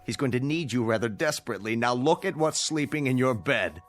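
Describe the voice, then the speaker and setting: An actor in a radio drama playing a stern, vengeful scientist with a measured, formal, sardonic delivery. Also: male voice, medium pitch, lively intonation, natural voice. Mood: cold, commanding. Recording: music bed under the voice.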